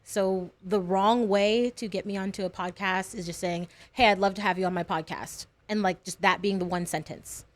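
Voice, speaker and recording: A woman's voice: medium pitch, steady tone, normal speed, hardly any pauses. A young woman from Hawaii talking casually and conversationally as a guest on a podcast. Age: young adult.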